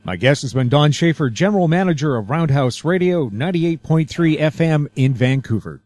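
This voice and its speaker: A man's voice: fairly high pitch, expressive, moderate pace, a little mellow, no echo. A man hosting a radio interview in a polished broadcast style. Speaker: radio show host, interviewer.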